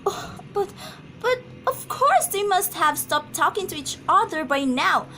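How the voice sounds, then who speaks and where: A woman's voice: extremely high pitch, very animated, unhurried, pushed and bright, a little hiss or hum, full character voice, low-quality recording. A woman acting an anxious, imperious, easily flustered wife in an amateur radio drama, agitated and stammering. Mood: distressed.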